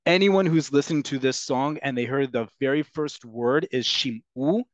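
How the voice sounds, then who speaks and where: A man teaching a Hebrew class on a video call, explaining earnestly with frequent pauses and ums. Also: medium pitch, no echo, highs cut off. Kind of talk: online class lecture.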